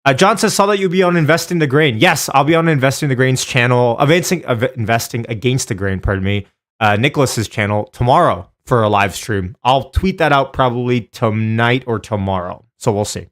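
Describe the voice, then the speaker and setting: A man's voice: mid-range voice, fairly quick, a bit bright. A man hosting a live stream, chatting informally with viewers by name and giving casual commentary.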